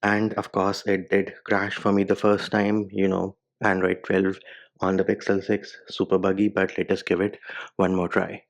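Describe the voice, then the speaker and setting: A man's voice: sits low, monotone, normal speed, vocal fry, rough recording. A man whose first language is Hindi, giving a step-by-step phone tutorial voice-over with casual slang. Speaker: tech reviewer.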